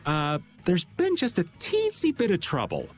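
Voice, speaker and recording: An actor playing a laid-back, folksy, teasing middle-aged rogue in a video game. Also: male voice, high voice, wildly swooping pitch, normal speed, soft background music. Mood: casual, frank.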